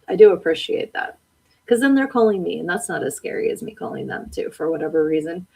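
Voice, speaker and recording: A woman with a husky, slightly low voice chats casually on a live stream. She reads viewer comments aloud and answers them, laughing often. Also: lively intonation, quick pace, darkish tone, low-quality recording. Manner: chatty, laughs often, talks back to the chat. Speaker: podcast host reading live comments.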